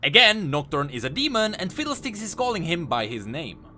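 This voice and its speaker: A man's voice: fairly high pitch, very animated, a little fast, sharp tone, slight background noise. A man giving enthusiastic, emphatic scripted commentary as the host of a video game lore channel. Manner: emphatic, explanatory. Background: music.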